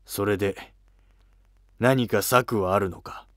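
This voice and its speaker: A man voicing a weary, resentful senior court minister in an animated historical drama, with lofty, curt, archaic speech. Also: lowish voice, takes it slowly, no room sound, studio quality. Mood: wary, grave.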